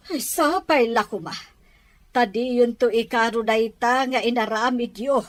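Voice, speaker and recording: A woman's voice: fairly high pitch, slow. A woman acting a dismayed, reproachful mother in an Ilocano radio drama. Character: dismayed, reproachful mother scolding her daughter.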